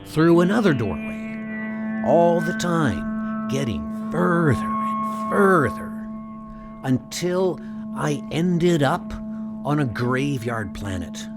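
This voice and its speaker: A man playing a shaken, earnest superhero in a comic dub, telling his ordeal in a halting, intense way. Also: on the high side, exaggerated voice. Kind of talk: dramatic comic dub monologue.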